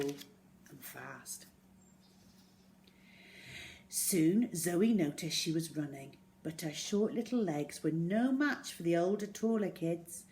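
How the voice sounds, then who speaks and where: A woman reading a children's story aloud in an expressive way, acting out the words as she goes. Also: lowish voice, a little hiss or hum. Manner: expressive, acts out dialogue and sound words.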